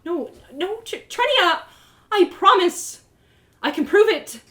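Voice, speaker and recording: Woman voicing an excitable, high-pitched, desperate young heroine. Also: slow, heavily acted. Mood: desperate, pleading. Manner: stammering, urgent, repeats words. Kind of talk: storybook character voice in a live reading.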